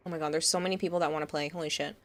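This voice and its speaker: A woman's voice: low-pitched, fairly even intonation, rapid-fire. A young woman with a Boston accent talks to her live-stream chat in a chatty, reassuring way. She replies to viewers and thanks them as she goes. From Massachusetts.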